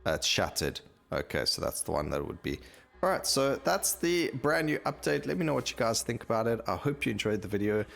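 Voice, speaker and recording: A man's voice: medium pitch, sing-song, brisk, gravelly, fairly bright tone, soft background music. A man giving casual gameplay commentary as a gaming video host, thinking aloud as he reads out stats on screen.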